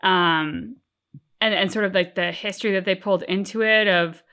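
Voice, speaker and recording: A woman with a mid-pitched voice chats as a reaction co-host. Her delivery is thoughtful and a bit halting as she works out her opinion. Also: fairly even intonation, sharp tone, clear, resonant tone. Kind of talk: casual reaction discussion.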